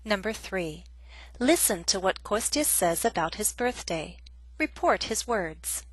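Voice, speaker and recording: A woman gives a scripted grammar lesson, speaking in a clear and measured way. Her voice sits at an ordinary middle pitch, recorded close and dry with no room sound. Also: animated, dry recording. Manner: clear, measured teaching delivery. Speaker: English teacher.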